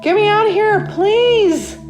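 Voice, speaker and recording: A woman's voice: extremely high pitch, slow, pitched above the performer's own voice. A woman reading a first-person horror story as a trapped accident victim, in a dramatic, confiding inner monologue. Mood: panicked, pleading. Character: trapped narrator waking after accident, wry then panicked. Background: original music.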